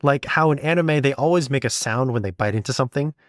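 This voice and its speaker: A man with a mid-pitched voice, recorded dry with no room sound. He talks like a podcast host, casually explaining things and offering practical advice in an informal way. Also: quick pace, no echo. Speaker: podcast host and audio drama sound designer.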